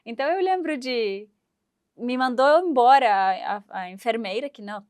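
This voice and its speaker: A woman in her thirties from Syria, speaking in a fairly high voice. She tells her story with animation, acting out the voices of the people in it. The sound is dry and close. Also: moderate pace, dry recording. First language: Arabic. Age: 30s. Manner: animated, acts out quoted voices, laughs while telling. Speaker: guest. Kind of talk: storytelling interview.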